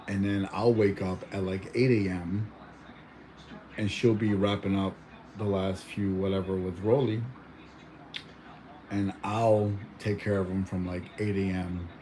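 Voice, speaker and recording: A man's voice: sits low, flat delivery, a little slow, pauses often, dark tone, a little hiss or hum, poor audio. A young man born in Mexico chatting casually at home, rambling with lots of fillers and restarts. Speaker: vlogger. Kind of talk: casual chat.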